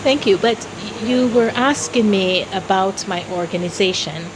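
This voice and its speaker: A woman from Jamaica telling personal stories as an interview guest, in a deliberate, expressive way. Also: mid-range voice, noisy background. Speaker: interview guest, founder of a philanthropy organization.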